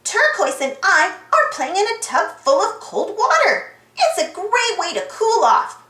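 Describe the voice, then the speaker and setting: Woman as a squeaky, chirpy storybook talking object. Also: huge pitch swings, unhurried, pushed and bright, exaggerated voice. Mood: cheerful, helpful. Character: chirpy, friendly talking household thing in a children's storybook. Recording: roomy echo.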